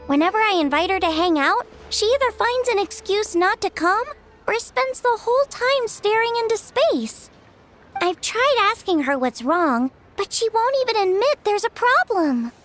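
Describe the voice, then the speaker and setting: Anime game role: bubbly, caring teenage girl, very high and lively, music behind. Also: moderate pace. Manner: bubbly, breezy, youthful. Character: perky, friendly teenage girl, caring festive friend. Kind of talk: anime video game voice acting.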